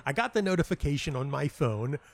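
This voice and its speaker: A man's voice: on the high side, sing-song, brisk, gravelly. A middle-aged man telling stories as an interview guest, stammering and repeating words, acting out the dialogue he quotes. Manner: animatedly acts out quoted dialogue. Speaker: interview guest, playwright and audio dramatist. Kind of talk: conversational anecdotal storytelling.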